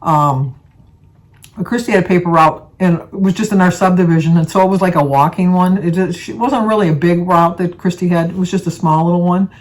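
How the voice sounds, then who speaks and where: A woman's voice: fairly low pitch, brisk, a little mellow. A woman, chatting casually to her viewers and rambling through everyday stories. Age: 70s. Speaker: vlogger.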